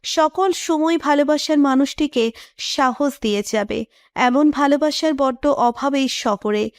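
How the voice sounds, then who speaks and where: High-pitched woman narrating, dry close sound. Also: no echo. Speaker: story narrator. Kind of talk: scripted romantic story narration.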